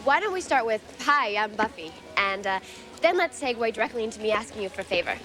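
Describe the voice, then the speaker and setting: A woman playing a plucky, friendly new high school girl with a casual, direct manner in a television drama. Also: lively intonation, fast talker, a bit bright. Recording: TV soundtrack with music underneath.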